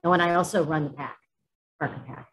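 An older woman who works as an advocacy director, speaking on a video call panel in a brisk, matter-of-fact way. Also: sits low, husky. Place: home kitchen.

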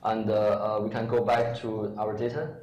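A man presenting a live software demo at a meetup, speaking haltingly with many fillers. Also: fairly low pitch, little pitch movement. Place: large lecture room with audience. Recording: echoey hall, faint room noise.

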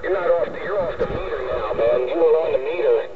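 A man talks casually as a CB operator, folksy and repetitive. His slightly high voice comes through a narrow, tinny radio speaker some way from the microphone, with a loud wash of sound around it. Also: a little fast. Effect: CB radio transmission. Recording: tinny distant CB radio speaker, heavy static.

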